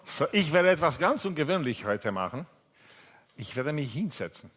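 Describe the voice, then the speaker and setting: A man's voice: on the high side, very animated, normal speed, fairly bright tone. Italian-accented man preaching, chatty. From Italy. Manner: chatty, many fillers and restarts, jokes with the congregation. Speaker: pastor. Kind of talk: sermon. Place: church hall. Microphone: seated preacher on stage mic.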